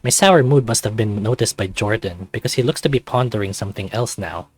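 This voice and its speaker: A man reading visual novel narration aloud in an expressive scripted style. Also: on the low side, fairly quick, slightly rough. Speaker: visual novel let's play reader.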